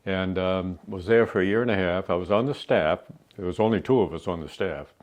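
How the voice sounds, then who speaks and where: Older New England man, deep, rambling. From New Hampshire. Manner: rambling, halting with many fillers. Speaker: Army veteran guest. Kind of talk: interview. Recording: dull lo-fi sound.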